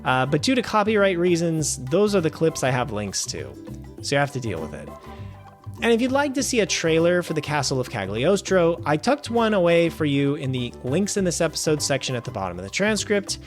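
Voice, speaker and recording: A man hosting a film review show, reading a scripted review with wry, animated asides. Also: a little high, a little fast, soft background music. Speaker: film review podcast host.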